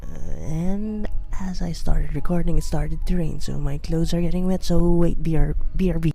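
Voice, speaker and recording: Teen Filipino boy, English as second language, self-mocking drawing vlog narration, dark mellow tone over faint music. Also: fairly high pitch, lively intonation, normal speed, vocal fry. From Philippines. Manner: awkward, apologetic, self-mocking, many fillers. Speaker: beginner art vlogger. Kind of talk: rambling self-conscious voice-over while drawing. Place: house.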